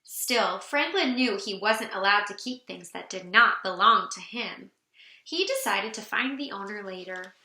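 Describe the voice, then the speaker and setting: A woman reading a children's storybook aloud in an animated, expressive way for young listeners. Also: mid-range voice, measured pace, roomy sound.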